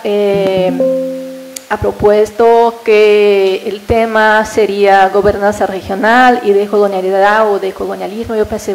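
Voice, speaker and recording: A woman's voice: mid-range voice, darkish tone, poor audio. A woman from Brazil whose first language is Portuguese, speaking Spanish with a Brazilian accent in a hesitant academic talk full of fillers and tag questions. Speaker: invited speaker at a university panel.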